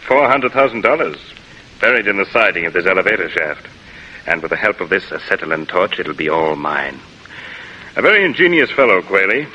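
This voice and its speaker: A man's voice: low-pitched, sing-song, brisk, pushed and bright, muffled recording, full character voice. An actor playing a greedy, mock-courteous male killer with silky menace, in 1940s radio drama style. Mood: smug. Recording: hissy vintage radio recording.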